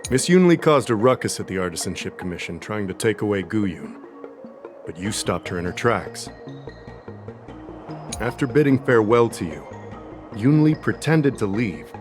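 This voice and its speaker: An actor playing a composed, methodical investigating official in a video game, with a measured, formal delivery. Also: male voice, lowish voice, lightly acted. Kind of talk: video game dialogue acting. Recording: music behind the voice.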